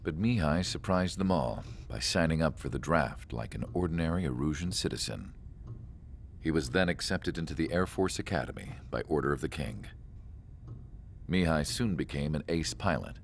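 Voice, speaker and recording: A man playing a calm, reflective narrator in a game cutscene, telling the story in a measured, wistful way. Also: very deep voice, slight background noise.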